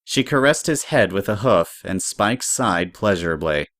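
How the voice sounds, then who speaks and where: Casting: storybook narrator, extremely low voice, close and dry. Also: animated, normal speed, a bit bright, no echo.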